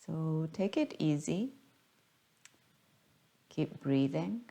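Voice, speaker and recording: A woman guiding a yoga class as the teacher, speaking in a gentle, unhurried, soothing manner. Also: deep voice, animated, vocal fry. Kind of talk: guided yoga class instruction.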